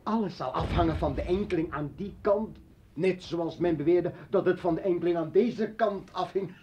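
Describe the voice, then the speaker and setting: A man's voice: high voice, moderate pace, a little mellow, a little hiss or hum, natural voice. Actor as bitter revolutionary man, dull vintage recording. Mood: scornful. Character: bitter, militant male revolutionary and former journalist. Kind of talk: radio play acting.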